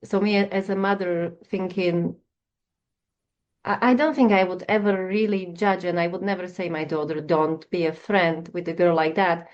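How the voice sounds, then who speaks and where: Hesitant soft-spoken woman, lo-fi sound. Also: fairly low pitch, fairly even intonation, moderate pace. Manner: hesitant, searching for words. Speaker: guest counsellor. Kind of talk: reflective personal conversation. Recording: dull lo-fi audio.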